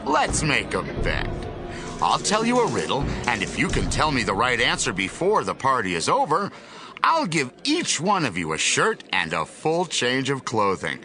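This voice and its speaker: A man voicing Samson as a headstrong young man who speaks slowly and demands what he wants, in a dramatized Bible reading. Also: mid-range voice, wildly swooping pitch, music in the background. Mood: demanding.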